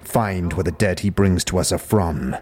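A man playing a solemn, heavy-set dustman with a stony voice and formal, measured speech in a fantasy audio drama. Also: low-pitched, a little mellow, close to an everyday voice. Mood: grave, solemn. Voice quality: gravelly, stony.

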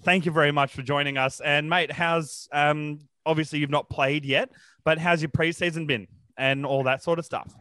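A man's voice: fairly high pitch, steady tone, normal speed, sharp tone, clean tone, no echo. An Australian man hosting a sports podcast, interviewing in an informal, enthusiastic and matey chat style.

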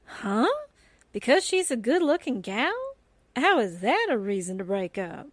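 A woman's voice: fairly high pitch, very animated, a little slow, husky, dry recording, heavily acted. An actress playing a nosy, incredulous, slangy newspaperwoman sidekick in a 1940s-style radio drama.

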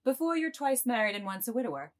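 Woman as a saucy, high-pitched brothel girl. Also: sing-song, fast talker. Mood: teasing. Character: brash, teasing young brothel woman.

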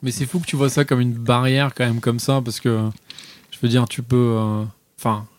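A man's voice: medium pitch, flat delivery, moderate pace. A man in a casual debate, arguing at length and apologizing for rambling. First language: not French.

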